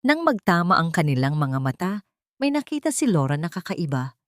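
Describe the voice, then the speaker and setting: A woman's voice: on the low side, very animated, brisk, dry recording, clean studio sound. A woman with a Filipino accent narrating a dramatic story from a script. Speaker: audiobook narrator.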